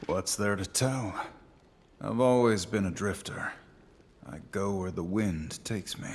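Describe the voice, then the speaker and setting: A man's voice: sits low, slow pace, husky, heavily acted. An actor playing a brooding, hard-drinking wandering swordsman in a video game, a wry loner with a dry, guarded, laconic delivery. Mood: wry, aloof. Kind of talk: understated video game acting.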